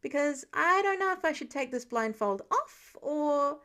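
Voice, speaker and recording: A woman's voice: high voice, lively intonation, a little slow, a bit bright. A woman voicing an awkward teenage boy superhero in a story reading, hesitant and trailing off. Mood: awkward, unsure.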